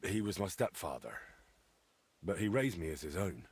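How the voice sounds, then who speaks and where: Earnest young smith's son for a game: polite, husky, lowish pitch, very dry recording. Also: male voice, sing-song, moderate pace, a little mellow, no echo, full character voice. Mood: subdued, earnest. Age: young adult. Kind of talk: video game dialogue acting.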